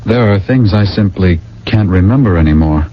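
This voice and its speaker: A man's voice: deep voice, lively intonation, moderate pace. A man in a radio drama playing a dazed, subdued man, hesitant in his speech. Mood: dazed, troubled. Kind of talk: 1980 radio drama acting. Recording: dull old radio recording, faint hum.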